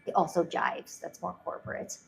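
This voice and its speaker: A soft-spoken woman giving a measured pitch about her coaching work, then answering questions. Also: slight background noise, poor audio. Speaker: presenting founder. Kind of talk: pitch presentation and Q&A.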